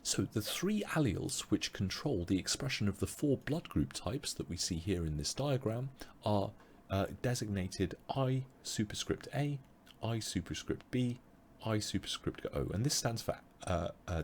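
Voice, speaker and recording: A man teaching an online biology revision webinar, explaining step by step with frequent pauses, his voice a little strained as he loses it. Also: on the low side, expressive, a little hiss or hum. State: losing his voice. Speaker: biology tutor.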